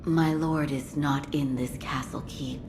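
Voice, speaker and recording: A woman playing a calm, observant retainer in a video game, measured, reserved and courteous. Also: extremely low pitch, background noise. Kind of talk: dramatic video game dialogue.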